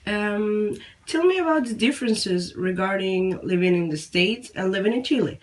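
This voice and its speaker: A woman interviewing a teenager, asking measured questions and rephrasing them as she goes. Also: mid-range voice, animated.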